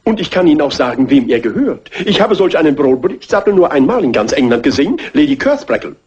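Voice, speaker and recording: An actor playing a haughty, formal gentleman hotel owner in a dubbed classic crime film. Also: on the high side, very animated, fast, slightly rough. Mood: delighted. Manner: pompous, formal. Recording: muffled, noisy old film soundtrack.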